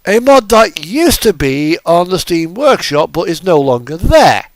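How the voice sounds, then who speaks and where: A man talks through a driving game in a casual, rambling way. His voice sits a little high and has a gravelly creak, recorded close and dry. He thinks aloud rather than following a script. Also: sing-song, unhurried, no echo. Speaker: gaming video host.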